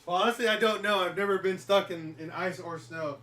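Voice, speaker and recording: A man in his thirties, hosting a casual question-and-answer chat, talking in a relaxed, rambling way and laughing often. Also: sits high, brisk, a little hiss or hum. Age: 30s. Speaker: garage channel host.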